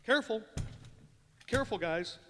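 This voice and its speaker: A man's voice: high-pitched, lively intonation, moderate pace, sharp tone. A man playing an earnest, self-promoting, folksy former ballplayer in a live radio play. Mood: helpful. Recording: noisy live stage in echoing hall.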